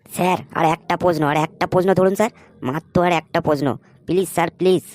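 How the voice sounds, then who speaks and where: Man acting a fast-talking, cocky job seeker. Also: high voice, fairly even intonation, a little mellow, no room sound, studio quality, close to an everyday voice. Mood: pleading. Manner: earnest, wheedling, overconfident. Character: cocky, pleading, dim-witted male job candidate. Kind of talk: comedy cartoon dialogue.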